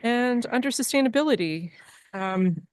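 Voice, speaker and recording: A woman's voice: fairly high pitch, expressive, moderate pace, darkish tone, a little hiss or hum. A woman presenting a report as library director at a board meeting, earnest, with frequent ums and restarts. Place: public meeting room.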